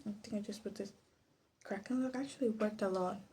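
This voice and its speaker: A woman from Latin America gives soft-spoken ASMR commentary, often slipping into a whisper, in a hesitant, musing, self-deprecating way. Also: medium pitch, brisk, breathy edge. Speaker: ASMR video host. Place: bedroom. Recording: faint background noise, clicking sounds.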